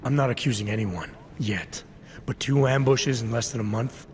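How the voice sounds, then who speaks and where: An actor playing a grim, guarded cyborg agent in a video game, speaking in a low, clipped way. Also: male voice, moderate pace, slight background noise, lightly acted. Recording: game cutscene with loud score.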